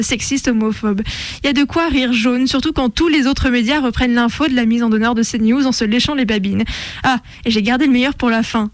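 A woman's voice: fairly high pitch, no echo. A woman hosting a radio show, mixing scripted commentary and casual talk in a sardonic, slangy way. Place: radio studio.

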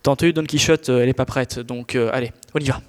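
A man's voice: medium pitch, steady tone, fast, close to an everyday voice. Teen boy as plain-spoken squire, echoey hall. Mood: resigned. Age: teenager. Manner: hesitant, matter-of-fact. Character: plain-spoken, loyal male squire Sancho Panza. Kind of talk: comic audio fiction acting.

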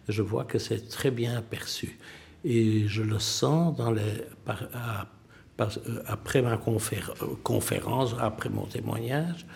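Slow, gravelly older man, halting. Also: mid-range voice, darkish tone. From Belgium. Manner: halting, many fillers and restarts. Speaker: museum president, witness. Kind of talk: interview answers.

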